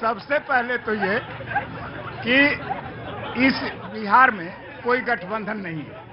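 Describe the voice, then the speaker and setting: A man, speaking slowly and formally at a street vox pop. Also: high-pitched, noisy background. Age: 70s. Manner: slow, formal, earnest pleading. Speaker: elderly local voter. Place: outdoor street crowd. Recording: dull outdoor audio with crowd. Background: faint crowd.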